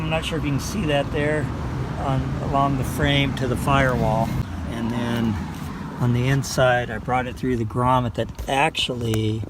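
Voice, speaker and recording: An older man giving a casual, unscripted how-to walkthrough, unhurried and a bit hesitant as he explains. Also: medium pitch. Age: older, a grandfather. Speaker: vlog host showing a DIY install. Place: outdoors in a parking lot, around a truck. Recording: clear car and ambient noise.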